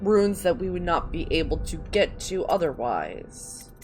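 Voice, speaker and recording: A woman's voice: lowish voice, sing-song, unhurried, music in the background. A woman giving casual commentary as she plays through a video game. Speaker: gamer narrating playthrough. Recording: clearly noisy home recording.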